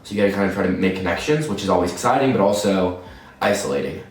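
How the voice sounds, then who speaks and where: A man's voice: low voice, fast. A man in his twenties from Texas, an exchange student giving casual interview answers and rambling through restarts and repeated words. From Texas, USA. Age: 20s. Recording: echoey room, mic far off.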